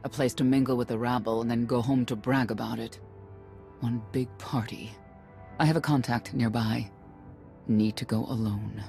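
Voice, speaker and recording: Weary knight mother, middle-aged woman, creaky mid-pitched voice, solemn, sorrowful, game cutscene, music behind. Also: fairly even intonation, mellow. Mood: guarded. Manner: measured, solemn, regretful. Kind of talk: dramatic game cutscene acting.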